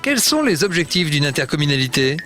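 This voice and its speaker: A woman's voice: low-pitched, very animated, brisk, a bit bright. A woman reading scripted questions for an educational explainer. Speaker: question asker. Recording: music behind the voice. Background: music.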